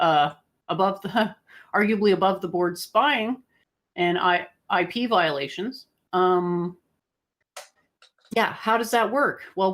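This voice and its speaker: A woman co-hosting a conversational news commentary, searching for her phrasing as she goes and posing rhetorical questions. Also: medium pitch. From outside the United States. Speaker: co-host commentator.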